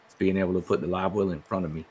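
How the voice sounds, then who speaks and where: A man's voice: low-pitched, fairly even intonation, racing, a little mellow, slightly rough. A man chatting casually as a podcast co-host. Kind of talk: casual chat.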